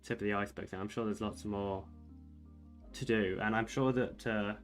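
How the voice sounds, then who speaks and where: Soft-spoken young man rambling over music. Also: lowish voice, steady tone, normal speed. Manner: rambling, hesitant, many fillers and restarts; soft-spoken, called soothing by viewers. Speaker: streamer reading chat. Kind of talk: casual livestream chat while playing chess. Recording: faint music behind the voice.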